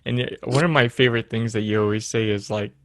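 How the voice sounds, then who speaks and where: A man's voice: fairly low pitch, steady tone, fast talker, a bit bright, no echo. Dry-sounding male podcast host. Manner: friendly, affirming interjections. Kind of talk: casual interview chat.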